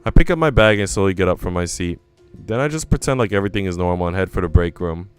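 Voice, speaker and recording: A man's voice: deep voice, fast talker, clear, resonant tone, faint music behind. A man reading a visual novel aloud as a let's player, acting out narration and dialogue and dropping in his own asides. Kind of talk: expressive read-aloud of story narration and dialogue.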